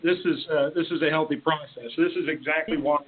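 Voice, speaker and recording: Higher-voiced man on a tinny phone line. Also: fairly quick. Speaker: meeting participant. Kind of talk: conversational meeting discussion. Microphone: telephone.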